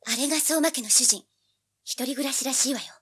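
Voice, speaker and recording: A woman's voice: high-pitched, fairly quick, fairly bright tone, husky. An actress playing a spirited teenage girl sidekick in an audio drama, talking in a pert, casual way. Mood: curious. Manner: pert, questioning, casual girlish talk. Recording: music under the voice.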